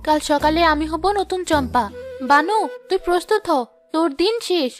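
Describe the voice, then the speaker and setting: A woman's voice: very high-pitched, moderate pace, soft background music. A woman playing a vain, gullible young village wife in a cartoon moral drama, with a theatrical, whiny delivery. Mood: eager, scheming.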